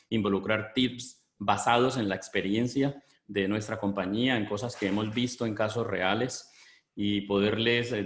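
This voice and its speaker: Low-voiced Colombian man presenting. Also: reverberant. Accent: Colombian Spanish. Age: middle-aged. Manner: measured, explanatory, with frequent hesitation fillers. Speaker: business consultant presenting a webinar. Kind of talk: webinar presentation.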